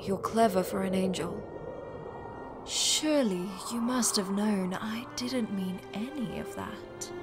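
Lady playing a wry evasive demon guy in a comic dub, unhurried and hesitant, music underneath. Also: medium pitch, lively intonation. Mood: bitter.

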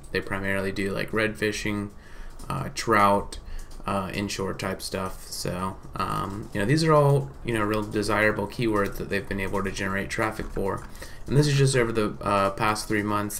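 Male presenter, thirties, lowish voice, informal business explainer with halting delivery, some background noise. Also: a bit bright. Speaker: marketing company presenter. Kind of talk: informal case study presentation to camera.

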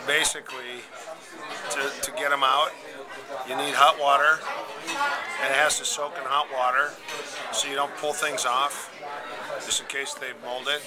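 A man's voice: medium pitch, flat delivery, unhurried, long pauses, crowd noise. A man in his thirties, joking and teasing while filming himself on a handheld camera. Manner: playful, teasing. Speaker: vlogger. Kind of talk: casual joking vlog chatter. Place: airport, car and restaurant. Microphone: handheld camera close to face.

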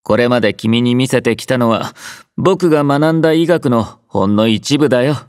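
An actor playing a laid-back young male army doctor in a game, speaking in a relaxed, drowsy, gently teasing way. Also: medium pitch, moderate pace, no echo, studio quality, lightly acted. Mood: easygoing teasing. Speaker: game character voice lines. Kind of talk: game voice collection. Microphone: close studio mic.

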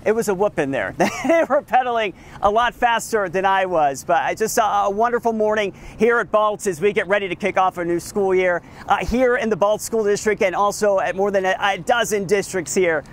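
A man's voice: high voice, brisk, hardly any pauses. A man in his thirties doing a live outdoor news report in front of a school, upbeat and chatty, on a lapel mic. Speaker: field reporter.

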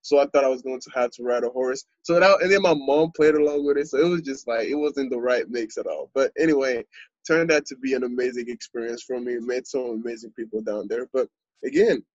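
A man in his twenties from Congo, a podcast guest telling his story in a relaxed, earnest way with lots of fillers. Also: mid-range voice, brisk, no room sound, lo-fi sound. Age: 20s. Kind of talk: podcast interview.

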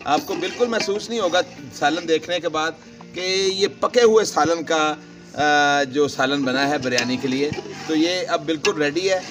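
A man's voice: a little high, measured pace, fairly bright tone. A man in his forties, chatting to viewers while cooking on a wood fire outdoors in a village yard, recorded on the camera mic. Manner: chatty, addresses viewers directly. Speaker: cooking vlogger. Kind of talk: casual cooking demonstration. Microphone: camera mic, handheld by a cameraman. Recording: background music under the voice.

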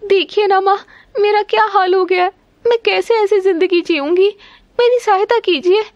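A woman's voice: very high voice, moderate pace, no echo, dull audio, pitched above the performer's own voice. A woman voicing a timid, pleading young snake-girl daughter in a cartoon story. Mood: frightened, pleading. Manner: plaintive, pleading.

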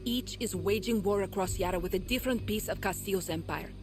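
An actress playing a tough woman rebel leader who briefs her fighters in a brisk, commanding way. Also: medium pitch, music in the background, lightly acted. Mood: determined. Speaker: guerrilla leader. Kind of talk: video game cutscene acting. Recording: game cutscene with loud score.

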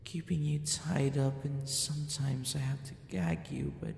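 A man playing a gentle, possessive yandere captor in an ASMR roleplay, speaking softly and often slipping into whispers. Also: sing-song, a little slow, soft background music, exaggerated voice. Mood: soothing, concerned. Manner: soft, breathy, often dropping into a whisper. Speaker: audio roleplay voice actor. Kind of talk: ASMR boyfriend roleplay acting. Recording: roomy reverb with faint rumbling noise.

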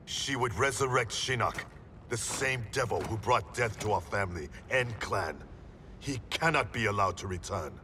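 A man's voice: on the low side, lively intonation, normal speed, distant mic, crowd noise, natural voice. A man voicing a weary ninja grandmaster. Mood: earnest. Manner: earnest, pleading. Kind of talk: video game cutscene acting.

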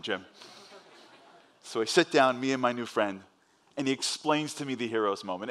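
Fast-talking New York man on stage. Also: on the high side, a bit bright. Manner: fast-paced storytelling, acting out dialogue. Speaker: motivational speaker. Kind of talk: inspirational stage speech. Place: large stage at a summit.

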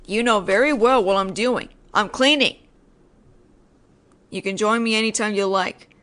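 A woman's voice: mid-range voice, a little slow, fairly bright tone, natural voice. A woman voicing a judgemental tsundere female ghost in an audio roleplay, sarcastic and nagging but secretly caring, speaking directly to the listener. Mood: sarcastic, exasperated.

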